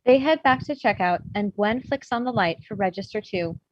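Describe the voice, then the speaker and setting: A woman's voice: mid-range voice, expressive, normal speed, no echo, highs cut off. A woman reading stage directions as scripted narration at a comedy table read.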